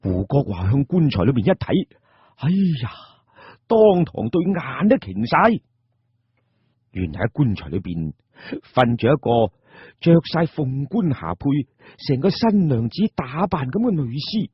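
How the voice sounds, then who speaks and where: A male storyteller with a very husky, rough voice narrates radio drama. His delivery is colloquial and dramatic with lively swings in pitch, in a dry recording. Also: unhurried, dry recording, dull audio.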